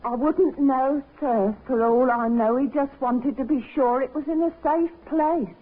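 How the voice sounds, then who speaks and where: An actress playing an overworked, plain-spoken cook at an inn in a 1950s radio drama. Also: female voice, sits high, measured pace, husky. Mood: chatty, guarded. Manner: chatty, plain-spoken, colloquial. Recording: muffled 1950s radio recording.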